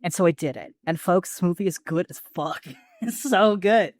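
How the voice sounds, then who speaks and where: Podcast co-host, mid-pitched and dry-recorded, casual, enthusiastic, slang-heavy and freely sweary. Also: moderate pace, no echo.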